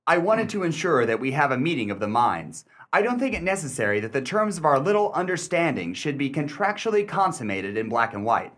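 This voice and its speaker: Man playing a sly, smug gentleman with lively pitch. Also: a little fast, hardly any pauses. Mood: smug, satisfied. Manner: suave, wordy, mock-polite. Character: sly, smug gentleman collector bargaining with an old friend. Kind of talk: radio play acting.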